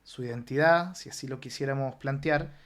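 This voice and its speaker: A man's voice: mid-range voice, moderate pace, fairly bright tone. A man hosting a podcast, formally summarizing what the guests have said. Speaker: podcast host. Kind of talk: moderating a discussion.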